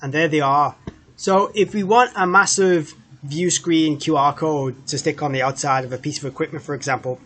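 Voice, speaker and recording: A man with a slightly high voice talks through a product demonstration. He explains things step by step in an informal, conversational tutorial style. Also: normal speed, fairly bright tone, slight background noise. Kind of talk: casual tutorial walkthrough.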